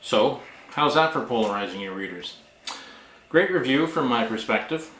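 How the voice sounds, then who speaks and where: A man talking casually as a vlog host and author, with a halting, wry, self-deprecating manner. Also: mid-range voice, animated, fairly bright tone, a little hiss or hum. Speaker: author and book vlogger hosting. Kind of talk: casual vlog talk, reading reviews aloud. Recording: echoey room.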